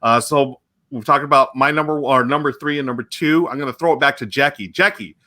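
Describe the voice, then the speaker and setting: Man, host, bright tone, enthusiastic, chatty. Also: medium pitch, brisk. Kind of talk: casual livestream chat.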